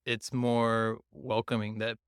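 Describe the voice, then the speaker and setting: A man from Taiwan, a guest on a podcast, talking reflectively and casually, often pausing to search for words. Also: on the low side, flat delivery, clean tone, dry recording, clean studio sound. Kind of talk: casual conversation.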